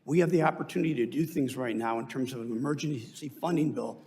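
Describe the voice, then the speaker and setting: A man testifying formally as an industry witness at a hearing, measured and emphatic, at a medium pitch. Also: vocal fry, a little mellow. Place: indoor committee hearing room.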